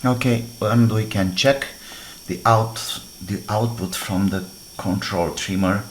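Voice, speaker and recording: A man with a fairly low voice and talks very slowly through a hands-on electronics repair. He speaks in short, halting phrases, thinking aloud as he works. There is faint noise in the background. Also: poor audio. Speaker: DIY electronics repair presenter. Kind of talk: hands-on repair demonstration, talking while working.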